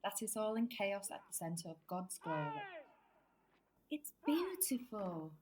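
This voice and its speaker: A woman's voice: mid-range voice, very animated, unhurried, noisy background. A woman acting a curious, cultured wartime comrade in a radio drama, with an inquisitive, conversational manner. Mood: admiring. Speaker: actor in a student radio drama.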